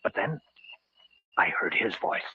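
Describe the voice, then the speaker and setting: An actor playing a level-headed man who narrates an eerie old-time radio drama in a measured, dramatic way. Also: no echo, close to an everyday voice. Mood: suspenseful. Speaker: narrator and lead character. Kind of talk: 1940s-style radio drama narration and dialogue. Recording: dull old radio recording with music.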